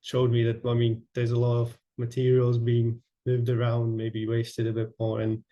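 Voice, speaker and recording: A young man from Latvia presenting his architecture project, halting with many fillers and restarts. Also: mid-range voice, monotone, lo-fi sound. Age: young adult. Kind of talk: online presentation.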